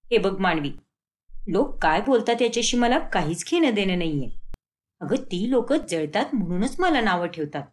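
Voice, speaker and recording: Woman voicing a vain, animated modern mother-in-law. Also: mid-range voice, moderate pace, close to an everyday voice. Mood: indignant. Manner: boastful, argumentative.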